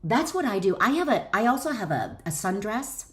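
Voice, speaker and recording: Female author, middle-aged, mid-pitched voice, encouraging self-help read with informal, chatty delivery. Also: very animated, moderate pace, fairly bright tone. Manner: encouraging, direct address to listener. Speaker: author and wellness educator. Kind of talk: reading own book aloud with chatty asides.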